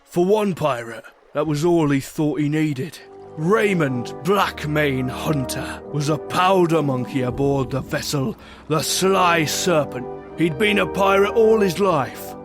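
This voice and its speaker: A man's voice: fairly high pitch, expressive, slow. A man narrating a scripted adventure story in a dramatic storytelling style. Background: music.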